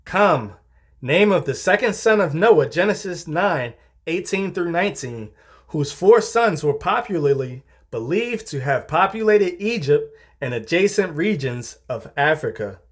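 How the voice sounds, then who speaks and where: Male narrator, fairly high voice, formal and measured, for scripted reference-style reading. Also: sing-song. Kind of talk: scripted narration, reading dictionary and encyclopedia entries.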